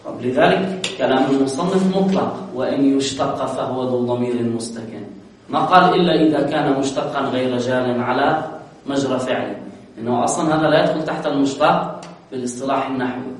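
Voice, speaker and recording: A man's voice: mid-range voice, fast. Echoey, distant male grammar teacher. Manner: explanatory, asks questions and answers them himself, stresses key words. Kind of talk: classroom lecture explaining a grammar poem.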